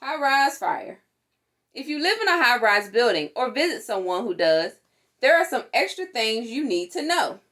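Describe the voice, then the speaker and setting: Female storytime reader for children's safety book; higher register, highly animated and instructive. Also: normal speed. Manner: animated, instructive, acts out sound words. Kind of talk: expressive read-aloud of a children's safety book.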